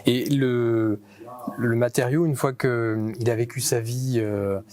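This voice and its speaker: A man's voice: medium pitch, steady tone, unhurried, darkish tone. A man asking questions as an interviewer in an informal, attentive and somewhat hesitant way.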